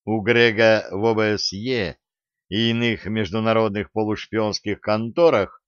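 A man narrates a novel in the first person. His voice sits on the low side. The recording is very dry, with a somewhat dull, lo-fi tone. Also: fairly even intonation, unhurried, clear, resonant tone, dry recording. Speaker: audiobook narrator.

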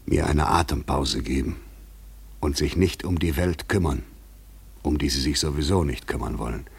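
Radio play: restless, worn-out intruder on the run, low husky voice, probing and rhetorical. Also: male voice, moderate pace, full character voice. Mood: weary, tense. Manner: articulate, rhetorical, insistent. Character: overwrought, weary, probing male fugitive intruder. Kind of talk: German literary radio play acting.

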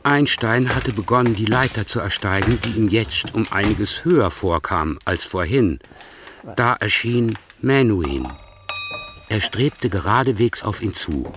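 A man with a fairly low voice narrates a radio drama in a measured, even way. A faint hiss runs under the recording. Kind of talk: scripted narration.

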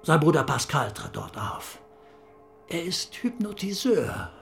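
An actor playing a reflective, scholarly brain expert in a German radio drama, speaking in a musing way. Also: male voice, a little high, sing-song, measured pace, gravelly, a bit bright, soft background music, heavily acted. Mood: musing, confiding.

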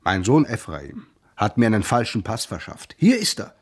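Husky, animated old coachman. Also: fairly low pitch, moderate pace, full character voice. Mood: proud. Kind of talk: radio drama acting.

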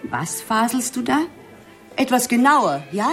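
Inquisitive socialite, radio play. Higher register, playful probing, music underneath. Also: female voice, very animated, unhurried. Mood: teasing. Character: teasing, inquisitive society lady. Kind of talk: 1970s German radio drama acting. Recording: music behind the voice.

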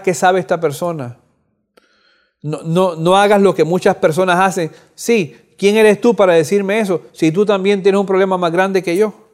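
A man in his fifties from Cuba, giving an earnest Bible study commentary and often repeating words as he gathers his thoughts. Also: high-pitched. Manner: earnest, repeats words while thinking, rising on emphasis. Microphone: audio recording.

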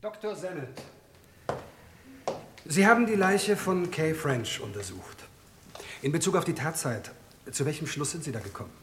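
An actor playing a formal, probing, insistent prosecutor questioning a witness in a German radio crime drama. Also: male voice, fairly high pitch, expressive, normal speed, pauses often, noisy background, lightly acted. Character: courtroom prosecutor, formal, pointed, insistent man.

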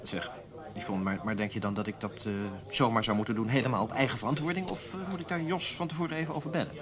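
A man's voice: medium pitch, fast talker, a crowd in the background, close to an everyday voice. An actor in a radio play playing an indignant, self-justifying male newspaper columnist who talks hesitantly and stammers. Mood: uncertain. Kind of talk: naturalistic radio play dialogue.

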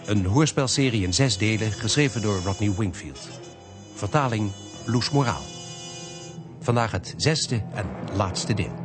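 A man formally announcing a radio drama in a measured, scripted style. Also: on the low side, animated, over music.

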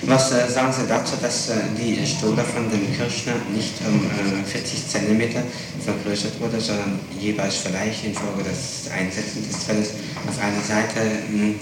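A man's voice: fairly low pitch, flat delivery, moderate pace, hardly any pauses. A man questioning someone formally in an interrogation, probing and persistent, with hesitant phrasing. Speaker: interrogator, state prosecutor. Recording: distant, very echoey, noisy archival tape.

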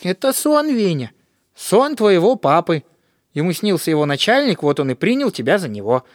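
An actor voicing a soft-hearted, grumbling little dream spirit in a children's fantasy audio play, with a sighing, expressive manner. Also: medium pitch, moderate pace, dry recording, clean studio sound, close to an everyday voice. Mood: reassuring, wry.

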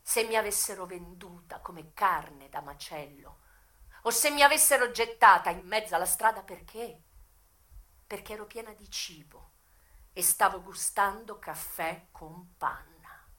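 A woman performing a theatrical first-person monologue for radio, expressive, with dramatic pauses and sudden swells. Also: medium pitch.

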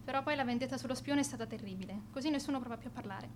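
Woman acting cheeky high-voiced teenage schoolgirl, fast-talking. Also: lightly acted. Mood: earnest, coaxing. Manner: slangy, offhand, defensive. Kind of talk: naturalistic radio drama dialogue.

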